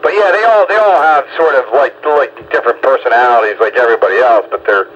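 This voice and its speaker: A man's voice: mid-range voice, fast, fairly bright tone, a little hiss or hum. Man chatting over dull, lo-fi audio. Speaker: husky owner and rescue volunteer. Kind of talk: casual chat.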